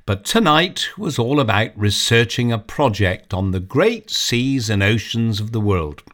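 A man reads a children's story aloud in a slow, measured way. His pitch rises and falls a lot as he tells it, and the delivery stays expressive throughout. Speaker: narrator. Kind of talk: storybook reading.

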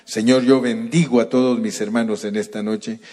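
A man's voice: mid-range voice, flat delivery, mellow. A man preaching a sermon at a night church service and then leading a fervent prayer. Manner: slow, emphatic preaching, rising to fervent prayer.

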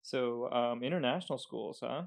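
A man's voice: mid-range voice, moderate pace, vocal fry. A man hosting a casual podcast chat, rambling and laid-back, trailing off with fillers; he came from Romania as a child. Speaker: podcast host.

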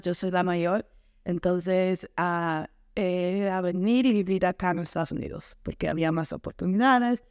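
A woman's voice: on the low side, darkish tone, clear, resonant tone, no room sound, studio quality. A woman with an Ecuadorian accent telling her story casually as a podcast guest, often searching for words. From Quito, Ecuador.

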